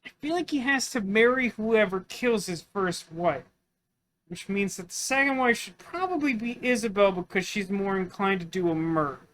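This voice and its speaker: A man's voice: sits high, lively intonation, normal speed, fairly bright tone, a little hiss or hum. A man doing casual let's play commentary, musing and thinking aloud as he works through puzzles. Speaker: gaming video host.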